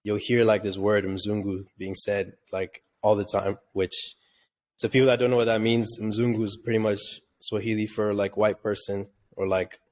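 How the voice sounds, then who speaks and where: A man's voice: lowish voice, normal speed. A man from Tanzania co-hosting a podcast, chatting casually and rambling with lots of "like". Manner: relaxed and rambling, heavy use of "like". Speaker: podcast co-host.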